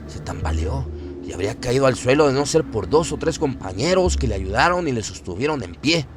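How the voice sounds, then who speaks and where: A man's voice: mid-range voice, big pitch swings, fairly quick. A man narrating a scripted horror story for radio in a dramatic style. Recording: clear ambient noise behind voice. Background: ambient sound effects bed.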